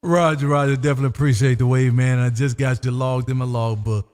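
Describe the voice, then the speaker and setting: A man's voice: mid-range voice, fairly even intonation, quick pace, long reverb tail, noisy background. A jovial, teasing man hosting a live amateur radio stream in casual chat, repeating himself and peppering his talk with "man". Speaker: amateur radio operator and livestream host. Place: home radio room.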